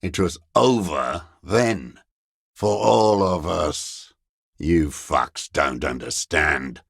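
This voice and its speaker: An actor playing a bitter, dying old communist deserter in a video game, raspy and contemptuous, given to cursing and ideological scorn. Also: male voice, rumbling low, extremely slow, fairly bright tone, no echo, clean studio sound, heavily acted. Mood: bitter, haunted. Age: older. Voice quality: gravelly, raspy. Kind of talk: dramatic video game voice acting.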